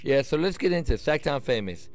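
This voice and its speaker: American man, medium pitch, radio host, casual slangy phrasing, conversational interview style. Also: animated, fairly quick. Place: radio station studio.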